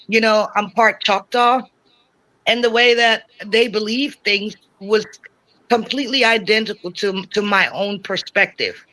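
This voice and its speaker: A woman's voice: medium pitch, steady tone, measured pace, sharp tone, rough recording. A woman who grew up in Germany, talking earnestly in a casual podcast chat and often checking in with "you know what I'm saying". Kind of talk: casual conversation.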